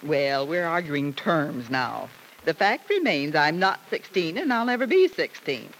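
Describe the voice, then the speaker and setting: A woman's voice: sits low, big pitch swings, a little slow, full character voice, low-quality recording. An actress playing a caring, frank middle-aged mother in an old-time radio soap opera. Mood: wryly resigned. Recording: hissy old radio recording.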